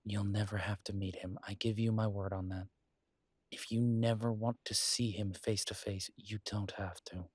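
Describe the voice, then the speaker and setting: A man playing a conflicted, protective werewolf pack leader in an intimate audio roleplay, speaking hesitantly and reflectively with halting pauses. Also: on the low side, level tone, breathy edge, no room sound. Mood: reassuring.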